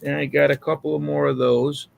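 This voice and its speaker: A man's voice: fairly high pitch, little pitch movement, fairly quick, creaky. An American man in casual chat on a live hangout, with a slangy, profane way of talking.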